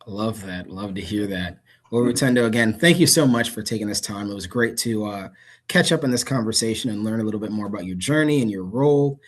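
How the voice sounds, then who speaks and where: A man moderating an online interview on a video call, relaxed and conversational. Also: lowish voice, a little fast.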